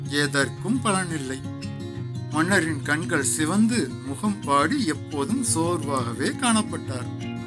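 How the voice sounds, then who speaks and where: High-pitched, animated male storyteller. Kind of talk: scripted moral-story narration.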